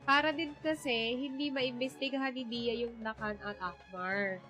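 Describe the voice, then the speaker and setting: A woman's voice: on the high side, moderate pace, pushed and bright, muffled recording. A woman with a Filipino accent chatting casually as she comments on a game during a live stream. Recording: game music behind.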